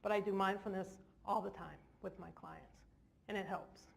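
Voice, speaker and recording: A woman's voice: medium pitch, fairly even intonation, moderate pace, creaky. A woman clinician giving an informal lecture in a large hall, with jokey, self-deprecating asides. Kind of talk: informal lecture to a live audience.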